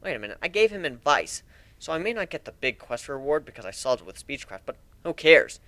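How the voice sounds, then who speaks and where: A man giving an expressive, wry dramatic reading of a first-person story as an amateur narrator. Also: medium pitch, brisk, no echo.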